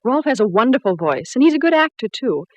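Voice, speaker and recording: A gracious opera diva. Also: female voice, a little high, very animated, fast talker, no echo. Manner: gracious, gushing. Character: gracious female opera singer Dorothy. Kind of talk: 1940s radio acting.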